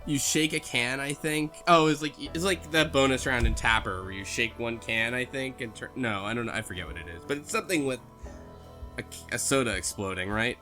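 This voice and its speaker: A man's voice: mid-range voice, fairly quick, bright, forceful tone, soft background music. A man co-hosting a podcast in casual chat, rambling and unsure as he goes. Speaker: podcast co-host.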